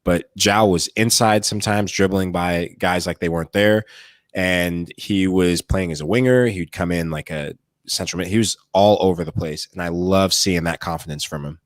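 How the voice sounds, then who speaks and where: A man's voice: low-pitched, moderate pace, no room sound, clean studio sound. A man co-hosting a soccer podcast, giving eager, gushing analysis. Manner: animated, gushing praise. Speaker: podcast co-host.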